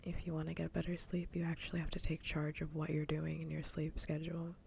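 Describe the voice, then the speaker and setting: A woman livestream host chatting casually in a soft, breathy whisper for ASMR, gentle and unhurried, close to the microphone. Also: deep voice, flat delivery, a little hiss or hum.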